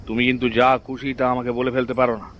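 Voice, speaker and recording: A man's voice: medium pitch, monotone, racing, fairly bright tone, a little hiss or hum, close to an everyday voice. A man playing a self-important, easily offended village headman in a horror cartoon. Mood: indignant. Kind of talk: Bengali horror cartoon voice acting.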